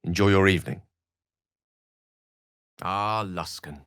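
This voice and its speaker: A man's voice: low voice, slow pace, a bit bright, smooth, no room sound, clean studio sound, heavily acted. A man voicing an eloquent, bookish wizard in a video game, articulate and theatrical, with ornate scholarly phrasing and a wry streak. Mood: courteous, wry. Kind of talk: scripted video game character dialogue.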